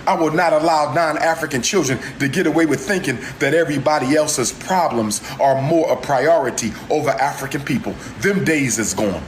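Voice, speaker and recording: A man's voice: fairly high pitch, normal speed, few pauses. Fiery man preaching in an echoey room. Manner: declamatory, with chant-like repetition. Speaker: activist speaker. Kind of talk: impassioned speech. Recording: echoey room with faint ambient noise.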